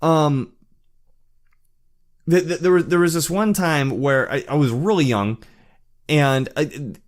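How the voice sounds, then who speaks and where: A man speaking in a casual personal monologue, candid and reflective, often stumbling and restarting his words. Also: on the high side. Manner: halting, with frequent stammered restarts. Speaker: vlogger sharing personal experience.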